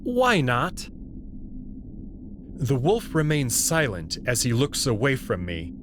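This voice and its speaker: A man reading a visual novel aloud in the first person, narrating in a measured, expressive way. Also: medium pitch, background noise.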